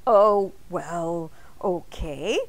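A woman's voice: lowish voice, very slow. A woman voicing a flustered, well-meaning man in a children's story, sheepish and hesitant with a stammer.

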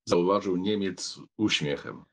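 Man for expressive novel narration: creaky, raspy voice at a middle pitch. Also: a little slow. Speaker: audiobook narrator. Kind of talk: expressive scripted literary narration.

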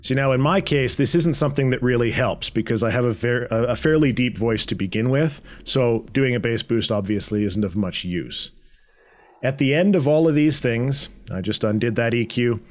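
A man presenting a software tutorial in a conversational, explanatory way with a medium-pitched voice. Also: moderate pace. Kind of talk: informal software tutorial narration.

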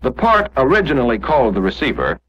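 American guy narrating an old 40s army training film, lively up and down pitch, dull muffled sound, explains stuff step by step. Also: quick pace. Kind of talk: 1940s instructional film narration, scripted.

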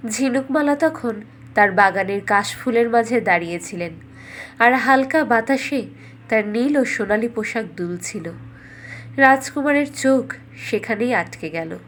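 A woman with a highish voice narrates a scripted fairytale. She tells it as the storyteller of a cartoon bedtime story. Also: expressive, unhurried, fairly bright tone, a little hiss or hum.

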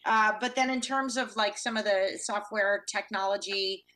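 A woman's voice: medium pitch, steady tone, moderate pace, sharp tone. A woman asking polite questions as a board member at a public budget hearing.

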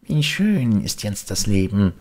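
A man voicing a naive, excitable boy puppet in a storybook, eager and exclamatory. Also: fairly low pitch, a little slow, voice pushed up. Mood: earnest.

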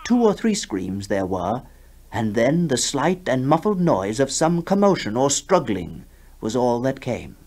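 A man's voice: medium pitch, very animated, a little slow, darkish tone. A man narrating a ghost story in a formal, theatrical manner. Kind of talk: dramatic reading of a ghost story.

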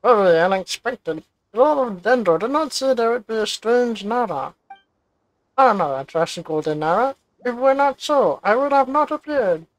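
A woman's voice: fairly high pitch, expressive, slow pace, no room sound, poor audio. A woman playing a game, reading its dialogue aloud and adding casual commentary. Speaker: game player.

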